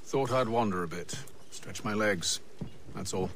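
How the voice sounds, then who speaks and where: An actor playing a composed, shrewd English gentleman adventurer in a video game, speaking in a measured, articulate and formal way. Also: lowish voice, a little hiss or hum. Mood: cool, pensive. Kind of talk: cinematic video game acting.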